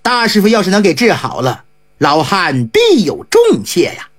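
A woman's voice: fairly low pitch, wildly swooping pitch, moderate pace, husky, pitched below the performer's own voice, full character voice. A woman playing a humble old squire in his fifties. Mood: humble pleading. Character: polite, anxious wealthy old man in his fifties. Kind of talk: traditional storytelling.

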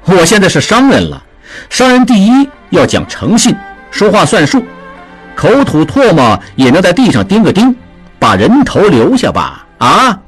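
A man's voice: sits high, huge pitch swings, normal speed, slightly rough, soft background music, voice pushed up, full character voice. A man voicing a sly, good-humored young merchant who teases and jokes. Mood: teasing. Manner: jovial, teasing.

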